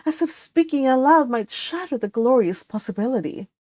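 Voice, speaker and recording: A woman's voice: high-pitched, expressive, moderate pace, a little mellow, no echo, studio quality. A woman reading scripted storybook narration from a classic novel.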